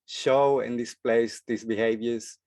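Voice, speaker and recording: A man's voice: mid-range voice, steady tone, a little slow, darkish tone, no echo. A man from Chile, giving a presentation as a freediving instructor and speaking hesitantly with many filler sounds. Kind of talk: forum presentation talk.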